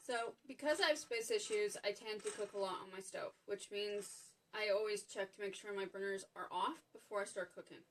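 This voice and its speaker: A woman's voice: medium pitch, brisk, few pauses. A woman with a Canadian accent hosting a live cooking demonstration from her home kitchen, chatty and apologetic, greeting viewers by name as she talks them through each step. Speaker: cooking show host.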